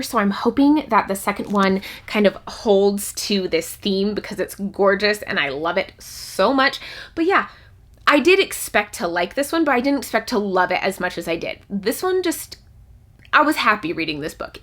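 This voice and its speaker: A woman's voice: medium pitch, bright, forceful tone. A woman talking casually and enthusiastically to her audience, in the chatty style of an online reviewer. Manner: enthusiastic, gushing about favourites. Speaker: book reviewer and channel host. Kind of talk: casual chatty book talk to camera.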